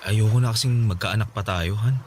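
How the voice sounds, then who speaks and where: A man's voice: on the low side, brisk, noisy background, close to an everyday voice. A Filipino man playing a put-upon, evasive boyfriend and father in a radio drama, defensive in manner. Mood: uneasy, evasive.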